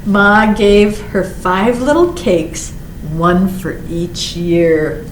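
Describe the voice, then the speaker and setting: Slow-paced woman reading a children's story, roomy echo. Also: medium pitch. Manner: expressive storyteller with drawn-out words and short asides. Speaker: library storytime reader. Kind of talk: children's picture book read-aloud. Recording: roomy echo with faint background noise.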